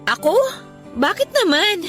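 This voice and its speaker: A woman's voice: very high-pitched, very animated, measured pace, bright, forceful tone, soft background music, heavily acted. A Filipino woman playing a busy young office worker in a Tagalog radio romance drama, casual, apologetic and guarded. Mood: defensive, light.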